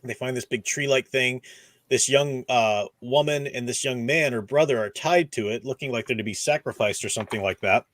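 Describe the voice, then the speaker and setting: A man's voice: medium pitch, a little fast, fairly bright tone, no room sound. Casual man hosting a fan discussion. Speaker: host of a book discussion stream. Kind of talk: casual discussion and plot recap.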